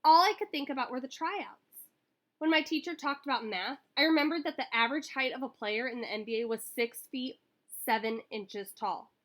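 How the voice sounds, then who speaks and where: A woman reading a children's picture book aloud in an expressive, animated way that acts out the story. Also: high voice, normal speed. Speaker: school staff member reading aloud.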